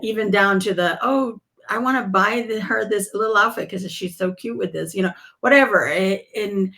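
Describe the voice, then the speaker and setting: A woman's voice: mid-range voice, moderate pace, gravelly, fairly bright tone. A middle-aged woman whose first language is Spanish, chatting reflectively as a podcast guest. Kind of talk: casual video-call chat.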